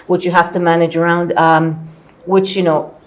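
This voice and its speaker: Middle-aged woman, low register, former executive reflecting in a relaxed panel conversation. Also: normal speed. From born in Croatia, later lived in Canada. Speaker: former company executive, interviewee.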